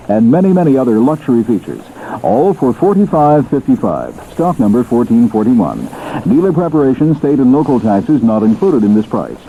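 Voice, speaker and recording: Male 1970s commercial announcer: lively inflection, medium pitch, tinny broadcast with faint noise. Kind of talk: 1970s radio car advertisement.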